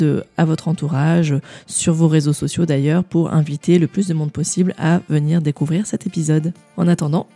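A woman's voice: low voice, warm tone, slightly rough. A woman from France hosting a podcast, chatting casually with a guest and then wrapping up for listeners, with many fillers and restarts. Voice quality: frequent fillers and restarts, laughs easily. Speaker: podcast host.

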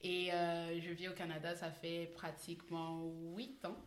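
A woman's voice: fairly low pitch, little pitch movement, unhurried. A woman from the Republic of the Congo, chatting casually and playfully as a co-host. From Brazzaville, Republic of the Congo. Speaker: podcast co-host. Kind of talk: casual group chat. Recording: echoey room, faint noise.

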